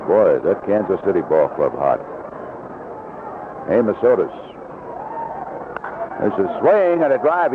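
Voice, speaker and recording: A man calling live baseball play-by-play on the radio in clipped, descriptive phrases. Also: fairly high pitch. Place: outdoor ballpark broadcast booth. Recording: noisy lo-fi 1970s AM radio broadcast.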